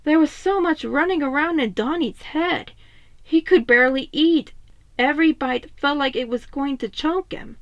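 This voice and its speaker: A woman's voice: high voice, normal speed, no echo, highs cut off. A woman narrating a scripted story expressively, as a fan fiction reader.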